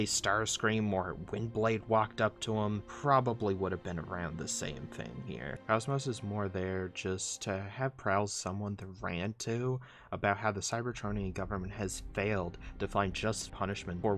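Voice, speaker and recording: Low-voiced man narrating over soft music. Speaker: video essay narrator. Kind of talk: informal scripted narration of a comic.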